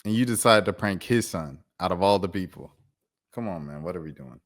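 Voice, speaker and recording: A man's voice: deep voice, animated, brisk, no echo, studio quality. An American man giving a casual, opinionated sports rant in a slangy, incredulous, emphatic way, repeating himself for effect. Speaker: sports commentator, show host.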